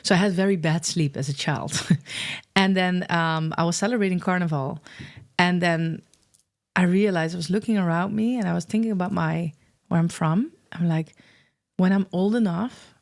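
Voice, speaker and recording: A woman's voice: fairly low pitch, normal speed. A middle-aged woman with a Dutch accent, openly and reflectively telling her life story as an interview guest. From Netherlands. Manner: open, reflective storytelling with fillers. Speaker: guest, founder of a travel business. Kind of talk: candid interview conversation.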